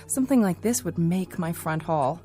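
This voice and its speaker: An actress playing a chatty, eager next-door neighbor in a radio drama, breezy and gushing. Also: female voice, on the low side, expressive, moderate pace, over music, close to an everyday voice. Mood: eager, delighted.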